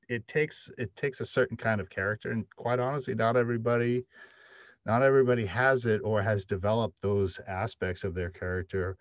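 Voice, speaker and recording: A middle-aged man speaking haltingly and off the cuff as a panelist on a video call. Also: lowish voice. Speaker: panelist, audio drama writer. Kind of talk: unscripted panel discussion. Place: indoor room, video call. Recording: roomy, muffled video call audio.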